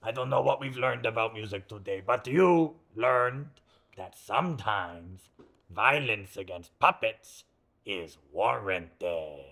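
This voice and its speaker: A proud, pompous conductor-professor, acted by a man, low and forceful. He is emphatic and self-important, with lively swings in pitch, in sketch-comedy style. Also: slow pace, gravelly, exaggerated voice. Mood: pompous pride.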